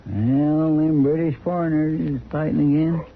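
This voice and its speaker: An actor playing a folksy, excitable American sidekick in an old radio western, with a rustic, complaining drawl. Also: male voice, a little high, normal speed, vocal fry. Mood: puzzled. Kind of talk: 1950s radio western acting. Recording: tinny, noisy old radio recording.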